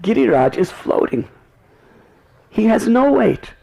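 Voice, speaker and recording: A man's voice: sits high, sing-song, takes it slowly, creaky, dark tone, breathy edge, voice pushed up, full character voice. A man voicing a smiling, playful divine boy. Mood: cheerful. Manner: light, smiling, reassuring. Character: cheerful divine cowherd boy, calm and playful.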